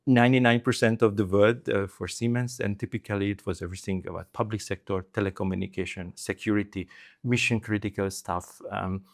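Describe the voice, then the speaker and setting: A man's voice: on the low side, fairly even intonation, a little fast, vocal fry. A middle-aged man with a Hungarian accent, speaking conversationally as an interview guest. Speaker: interview guest, former telecom executive.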